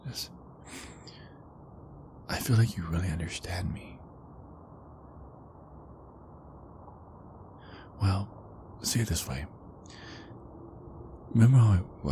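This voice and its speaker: A man playing a tender, insecure werewolf boyfriend in an intimate ASMR roleplay, speaking in a hushed, halting way that often slips into whispers. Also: very deep voice, noisy background. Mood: confiding, earnest.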